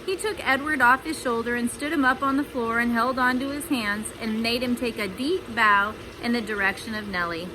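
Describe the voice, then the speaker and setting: Female storybook reader, fairly high voice, chatty asides, clearly noisy recording. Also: moderate pace, hardly any pauses. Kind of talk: children's read-aloud with chatty asides.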